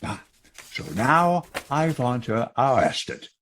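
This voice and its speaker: An actor playing an aggrieved old widowed farmer with a German accent and a husky, halting delivery in a Western radio drama. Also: male voice, medium pitch, expressive, a bit bright. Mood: combative.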